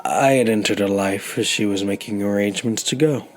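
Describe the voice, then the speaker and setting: An actor playing an older, humble, grateful man who tells his story in halting phrases in an audio drama. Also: lowish voice, natural voice. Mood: wistful, humble. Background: music.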